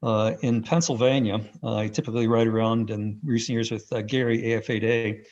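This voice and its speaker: A man giving an unscripted, rambling first-person account in halting bursts, as a radio hobbyist on a video call. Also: medium pitch, fairly even intonation, a little mellow. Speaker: amateur radio contest operator. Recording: dull, band-limited video call audio.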